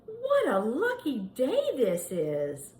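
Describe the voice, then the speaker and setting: A woman's voice: on the high side, huge pitch swings, slow, a little mellow, voice pushed up, exaggerated voice. A woman voicing an eager, earnest young male donkey full of wishes in a children's storybook. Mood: delighted. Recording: echoey room with faint background noise.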